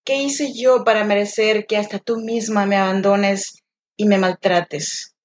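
Woman doing a hurt little inner kid, slow and pleading. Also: medium pitch, fairly even intonation, a little hiss or hum, lightly acted. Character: wounded inner child pleading with adult self.

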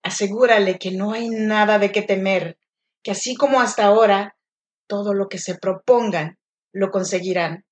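A woman from Oaxaca with a Mexican accent hosting a solo podcast, speaking gently and encouragingly straight to the listener. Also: medium pitch, fairly even intonation, normal speed. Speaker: podcast host. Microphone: home podcast mic.

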